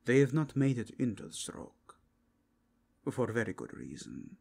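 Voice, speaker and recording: A man performs a devout inquisitor in a fantasy roleplay, grave and courteous. His phrasing is formal and archaic, delivered slowly in a husky voice with sweeping rises and drops. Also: medium pitch, very animated, dark tone, full character voice. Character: zealous male inquisitor, solemn, courteous, devoutly faithful.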